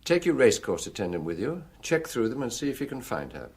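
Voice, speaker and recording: An actor with a British accent playing a seasoned, gruff middle-aged police chief in a radio drama, measured, ruminative and commanding, with a husky voice. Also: male voice, mid-range voice, sing-song, a little mellow. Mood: brisk, commanding.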